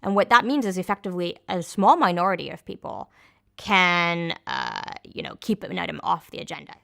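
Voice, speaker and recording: A woman's voice: fairly low pitch, very animated, a little fast, creaky, sharp tone. An Irish woman explaining things in a documentary interview, with fillers and self-corrections.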